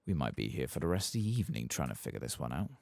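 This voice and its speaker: Very deep, gravelly soft-spoken man. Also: big pitch swings, very fast, no echo. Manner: casual, musing, understated. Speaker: gaming ASMR host. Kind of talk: soft-spoken ASMR game commentary.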